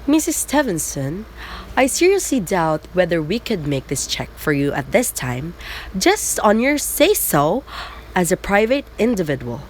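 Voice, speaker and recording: A slightly low, animated female voice acting as an officious telephone supervisor in an amateur radio play. She sounds skeptical and bureaucratic, with some noise behind her. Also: measured pace, low-quality recording. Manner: bureaucratic, doubting. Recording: noticeable background noise.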